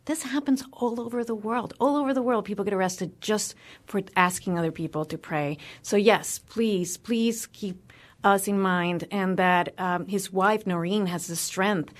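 A woman's voice: medium pitch, unhurried. A woman with a Cuban American accent, speaking passionately as a guest in a radio interview. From United States. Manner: passionate, emphatic. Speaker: guest, religious freedom advocate.

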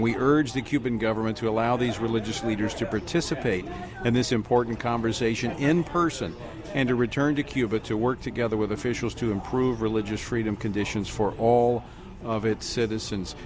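Guy hosting a news interview, stumbles over his words a lot, normal pitch. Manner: hesitant, repeats words while framing questions. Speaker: news host.

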